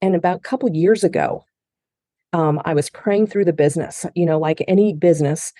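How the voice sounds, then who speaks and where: Earnest woman with a warm, mellow voice. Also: lowish voice, brisk, no room sound, clean studio sound. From Japan. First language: Japanese. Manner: earnest and heartfelt, with frequent restarts. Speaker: guest. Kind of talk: personal testimony in a podcast interview.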